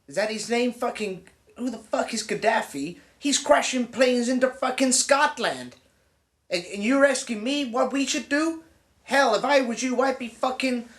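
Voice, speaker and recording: An actor playing a brash, crude young American man who is the president's son, talking loud, profane and full of swagger. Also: very high voice, recorded from a distance. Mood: ranting. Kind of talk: mockumentary comedy acting. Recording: roomy echo, off-mic.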